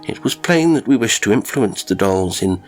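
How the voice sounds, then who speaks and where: A man narrating a first-person strange story from a script, with dramatic, expressive delivery and deliberate pauses. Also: lowish voice, faint music behind, low-quality recording. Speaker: audiobook narrator of strange stories.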